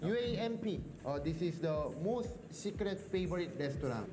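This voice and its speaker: Excited man in broken English. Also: high-pitched, moderate pace, noisy background. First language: Tagalog and Korean. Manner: broken English, eager, loud yummy sounds. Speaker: restaurant guide. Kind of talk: casual food tour chat.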